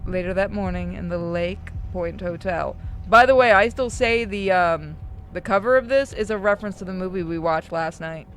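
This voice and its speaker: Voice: mid-range voice, normal speed, slight background noise. A streamer narrating a horror visual novel aloud and breaking off for casual asides.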